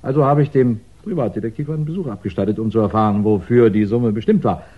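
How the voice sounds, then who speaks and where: An actor playing a courteous, probing police inspector in a 1960s radio crime drama, speaking deliberately with thoughtful pauses. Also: male voice, lowish voice, close to an everyday voice. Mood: matter-of-fact. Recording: dull, band-limited 1960s radio recording.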